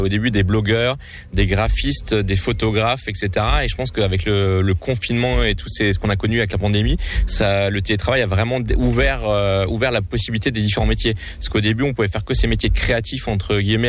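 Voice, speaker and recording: A man with a low voice answers questions as a guest filmmaker. He explains earnestly and often restarts mid-sentence to find the right words. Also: a little hiss or hum.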